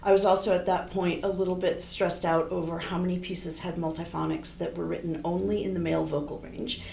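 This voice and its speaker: A middle-aged woman with a low, gravelly voice talks through her ideas in a relaxed interview. She wanders and restarts as she thinks aloud. The room is echoey, with a faint hum behind her. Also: brisk. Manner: thoughtful, rambling, frequent restarts. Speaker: horn player and composer.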